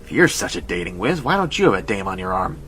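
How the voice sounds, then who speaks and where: An American man playing a nervous young super soldier in an audio drama, speaking in a bashful, halting, earnest way. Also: sits low, sing-song, a bit bright, slight background noise.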